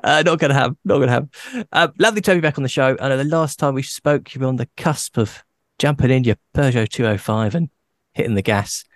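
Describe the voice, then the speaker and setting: A man hosting a podcast, chatting casually with a guest, playful and quick to laugh. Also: mid-range voice, dry recording. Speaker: podcast host. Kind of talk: casual interview chat.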